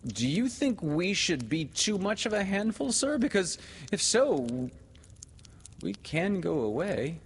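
A man's voice: sits high, sing-song, takes it slowly, big-room sound, a little hiss or hum, pitched above the performer's own voice, full character voice. A man voicing eager, polite little boys, proud and loyal, in a storybook. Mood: proud, flushed. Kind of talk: storybook character voice.

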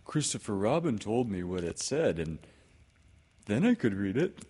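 Man voicing an earnest, slow-talking storybook bear. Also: on the low side, very animated, dark tone, husky, full character voice. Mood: polite, impressed. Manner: slow, earnest, simple-minded delivery. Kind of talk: storybook character voice in a read-aloud.

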